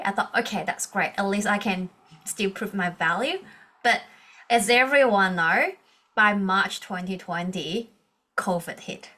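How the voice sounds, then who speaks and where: A woman's voice: mid-range voice, pushed and bright. A woman in her thirties from Hong Kong, speaking English with a Hong Kong accent as a non-native speaker, earnestly telling her personal story as a podcast guest over a video call, with frequent restarts. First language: Cantonese. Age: 30s. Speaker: guest, leadership coach.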